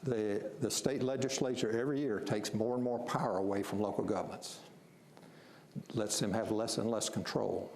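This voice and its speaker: A man with a gravelly voice leads a city planning session and spells out the rules plainly and firmly, often doubling his words. He sounds like he is in a reverberant meeting room, with a faint hiss underneath. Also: fairly low pitch, darkish tone. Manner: firm, explanatory, repeats words. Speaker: strategic planning facilitator. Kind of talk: explaining at a public meeting.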